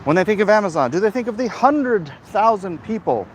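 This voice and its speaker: A man talking casually off the cuff in a rambling, opinionated, halting way, like a livestream host commenting and answering chat. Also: high voice, very animated. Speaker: host of a livestream vlog, comic creator. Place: outdoors, walking in an urban area. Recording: clear outdoor traffic and street noise.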